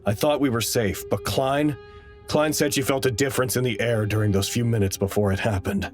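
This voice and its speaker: A man acting as an anxious, earnest researcher in an audio drama, giving dramatic and deliberate log reports. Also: mid-range voice, soft background music, lightly acted. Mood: grave, uneasy. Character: anxious, earnest male facility researcher narrating crisis logs.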